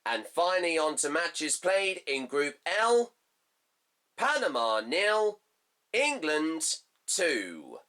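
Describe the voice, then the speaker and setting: A man hosts a fan football show, his voice slightly hoarse. He reads out scores and match facts slowly, in a bright, tense, cutting tone. He halts often and leans on fillers as he reads from his notes. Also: fairly high pitch, big pitch swings. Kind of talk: reading results and previewing a match.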